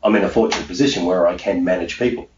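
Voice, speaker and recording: A middle-aged man with a low voice talks in an emphatic, conversational way, repeating points for weight. He sounds set back from the microphone in a very echoey room, like a guest answering interview questions. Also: very fast, darkish tone. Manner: emphatic, repetitive, punctuates points with "okay". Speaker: interviewee, self-employed mindset coach. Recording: roomy, distant, muffled, faint background noise.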